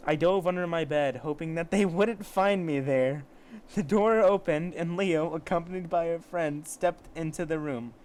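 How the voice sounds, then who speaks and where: A man's voice: on the high side, moderate pace, darkish tone. A man casually reading amateur fiction aloud, with sarcastic, foul-mouthed asides. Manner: sarcastic, profane commentary. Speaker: amateur story reader.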